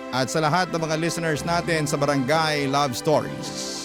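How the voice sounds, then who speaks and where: A man with a Filipino accent hosting a radio show, narrating a listener's letter as a dramatic story. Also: fairly high pitch. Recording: music bed under the voice. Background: background music.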